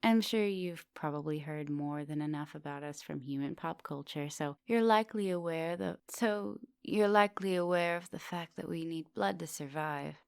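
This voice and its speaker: Woman doing a coaxing vampire lady professor for asmr roleplay, lowish voice, bit gravelly, polite and softly bossy. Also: moderate pace, hardly any pauses, no echo, clean studio sound. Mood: polite, explaining. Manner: coaxing, polite, formal phrasing. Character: soft-dominant, coaxing female vampire professor, ancient but youthful. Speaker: teacher.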